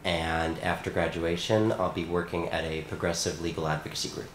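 A man's voice: deep voice, fairly even intonation, fairly quick, a bit bright, roomy sound, slight background noise. A young man from New Jersey, a student panelist, introducing himself briefly and matter-of-factly. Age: young adult.

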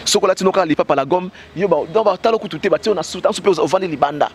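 Emphatic Congolese man, higher voice, with a cold. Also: fast, crowd noise. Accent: Congolese French. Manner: passionate, emphatic, heavy "bah" fillers, gestures while talking. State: has a cold. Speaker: interview guest. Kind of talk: street interview, mixing French and Lingala. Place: outdoors on a street by parked cars. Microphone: handheld mic with fur windscreen held by interviewer.

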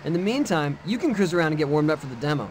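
Man acting young male skateboarder, video game dialogue, earnest, casual, fairly high pitch, light background ambience. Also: lively intonation, racing, lightly acted. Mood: easygoing. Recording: game audio with faint background noise.

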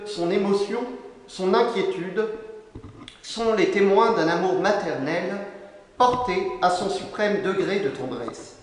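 High-voiced priest in an echoing church. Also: male voice. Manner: solemn, measured delivery in short phrases. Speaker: canon preacher. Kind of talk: formal sermon read from a lectern. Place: large church interior. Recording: long echo of a large church.

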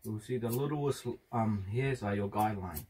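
A man's voice: on the low side, normal speed, mellow, husky. A young man giving a casual step-by-step barber tutorial while cutting hair in a parked van, rambling and joking, with the odd swear.

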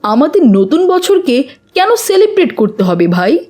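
A pious, earnest schoolboy in a children's cartoon. The voice is high and swings animatedly, with a preachy manner. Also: female voice, sing-song, unhurried, dark tone. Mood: earnest admonishing. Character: pious, earnest schoolboy who admonishes his friend. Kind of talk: children's moral cartoon dubbing.

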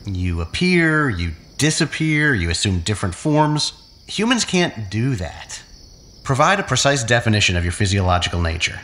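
A man's voice: on the low side, very animated, normal speed, bright, forceful tone. A man playing an obsessive, manipulative captive in an audio drama, with an intense, commanding, brooding manner. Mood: insistent, manipulative.

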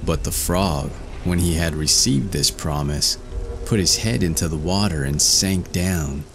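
A man's voice: deep voice, slight background noise. A man reading a fairy tale as storyteller, in scripted narration.

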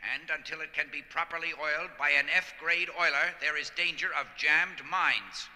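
An actor playing a stiff, irritable, fearful bureaucrat with a pedantic manner in an audio drama. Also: male voice, a little high, moderate pace, pushed and bright. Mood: stiff, anxious. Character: officious, irritable, fearful bureaucratic factory man. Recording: long hall reverb.

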